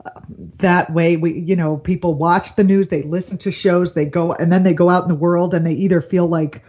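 A woman's voice: lowish voice, fairly even intonation, normal speed, warm tone. A woman comedian and news anchor talking politics as a guest in an earnest, rambling way, laughing easily. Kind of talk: casual political chat. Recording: dull lo-fi remote line.

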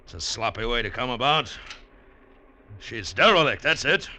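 An actor playing a big, surly, taciturn head lighthouse keeper with a curt, gruff delivery in a classic radio drama. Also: male voice, mid-range voice, sing-song, moderate pace, sharp tone, a little hiss or hum. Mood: brusque, intent.

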